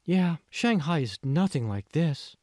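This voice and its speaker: A man narrator puts on a demure young Chinese woman for an audiobook, breathy and lifted in pitch. She sounds polite, deferential and careful, recorded dry. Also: sing-song, unhurried, a little mellow, dry recording. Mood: polite, wide-eyed.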